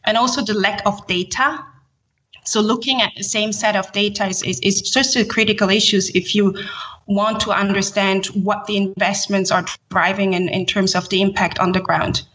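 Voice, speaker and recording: A woman from Haiti who grew up in Switzerland, explaining her work as a podcast guest in measured, formal, corporate phrasing. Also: medium pitch, steady tone, a bit bright, low-quality recording. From born in Haiti, grew up in Switzerland. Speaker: podcast guest, ESG executive. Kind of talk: explanatory interview talk in professional terms.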